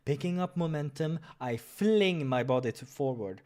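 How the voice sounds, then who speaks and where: A man's voice: on the high side, lively intonation, moderate pace, darkish tone. A man reading a visual novel aloud as a let's player, dramatic with casual asides and the odd stumble, over music. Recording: music behind the voice.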